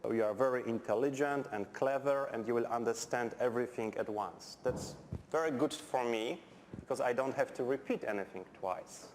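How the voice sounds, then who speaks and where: A man's voice: medium pitch, moderate pace. A middle-aged Polish man with a Polish accent, a non-native English speaker giving a university chemistry lecture in a blunt, direct way with dry humour. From Poland. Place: lecture hall. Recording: echoey lecture hall, faint background noise.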